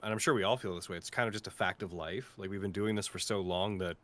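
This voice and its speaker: A man with a lowish voice speaks in a casual panel chat. He is earnest and heartfelt, wandering through asides and qualifications as he talks. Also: fairly even intonation, fast talker, a bit bright. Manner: earnest, rambling. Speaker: cast member of an audio drama.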